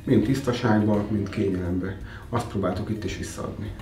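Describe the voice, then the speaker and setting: Man, lowish dark voice, monotone, off-mic, echoey, faint music. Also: a little fast, distant mic. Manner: flat, calm, with fillers. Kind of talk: reflective interview answer.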